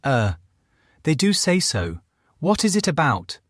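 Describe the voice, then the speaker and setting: A man voicing a courteous, observant clergyman in a storybook, measured and dryly amused. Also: a little high, big pitch swings, no room sound, studio quality, voice pushed up. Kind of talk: audiobook character dialogue.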